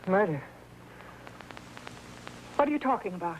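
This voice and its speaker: An actress playing an evasive wife under suspicion in a 1950s TV crime drama, haughty and defensive. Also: female voice, mid-range voice, expressive, measured pace, lightly acted. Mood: shocked. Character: indignant, evasive wealthy wife suspected of murder. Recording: noisy 1950s TV soundtrack.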